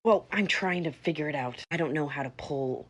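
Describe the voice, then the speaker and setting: A woman's voice: deep voice, moderate pace, breathy edge. A woman playing a stern, exasperated father who runs a wedding venue, in a comedy skit. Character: worried middle-aged father running a wedding venue.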